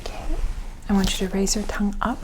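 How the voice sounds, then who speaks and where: A woman speaking softly, mostly in whispers, giving gentle clinical instructions in an ASMR medical roleplay. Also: medium pitch, level tone, fast talker, a little hiss or hum, rough recording. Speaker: content creator playing a medical examiner.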